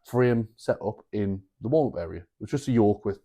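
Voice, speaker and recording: A man's voice: fairly low pitch, fairly quick, mellow. A man co-hosting a podcast, telling stories with casual, sweary banter. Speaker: podcast co-host.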